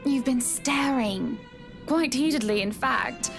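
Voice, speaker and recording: Haughty high-voiced society hostess. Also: female voice, slow, gravelly, heavily acted. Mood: teasing, arch. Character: haughty married society hostess, teasing and polite. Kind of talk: visual novel voice acting. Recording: music behind the voice.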